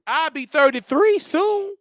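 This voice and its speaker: Loud, animated man in his thirties. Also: very high-pitched, a little slow, dry recording, clean studio sound. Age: 30s. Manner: loud, repetitive, emphatic rants with sudden shouts. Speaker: show host. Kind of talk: casual livestream talk.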